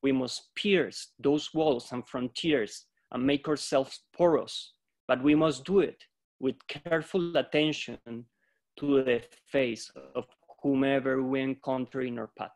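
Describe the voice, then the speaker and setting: A man from Colombia, a yoga teacher, giving a reflective, measured talk on a video-call panel. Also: mid-range voice, steady tone, darkish tone. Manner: reflective, measured, quotes philosophers.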